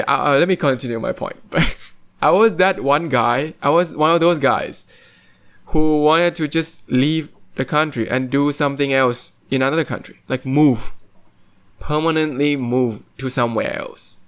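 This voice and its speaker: Man, young adult, from Thailand, podcast host, casual and rambling, high-ish voice. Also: smooth. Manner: animated, rambling with many fillers and restarts. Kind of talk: casual chat.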